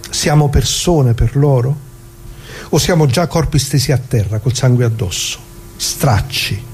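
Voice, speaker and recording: An actor playing an anguished, plain-spoken ordinary man who muses haltingly and repeats himself in a theatrical radio monologue. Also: fairly high pitch, darkish tone, slight background noise. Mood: bitter, musing. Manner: halting, musing, rhetorical questions, repeats himself.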